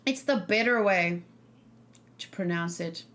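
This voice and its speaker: A woman's voice: on the low side, measured pace, a bit bright. Casual female streamer, English speaker. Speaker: streamer reacting to game trailers. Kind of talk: casual livestream chat.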